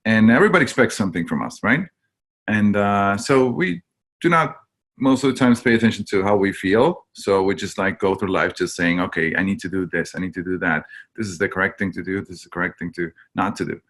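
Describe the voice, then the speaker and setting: A man's voice: low voice, fairly quick, fairly bright tone. A man from Argentina, a webinar guest telling his own story in a candid, rambling way with many fillers.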